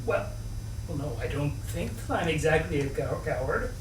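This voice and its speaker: A man voicing a modest, evasive male pig in a storybook, stalling and halting as he makes excuses. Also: on the high side, animated, pitched below the performer's own voice, natural voice. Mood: modest, hesitant. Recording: roomy, distant mic, faint noise.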